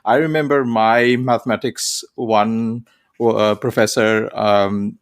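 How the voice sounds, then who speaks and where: A man co-hosting a podcast, recalling his college days in a relaxed, storytelling way with fillers like 'you know'. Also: fairly low pitch, takes it slowly. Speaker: podcast co-host. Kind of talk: casual film discussion.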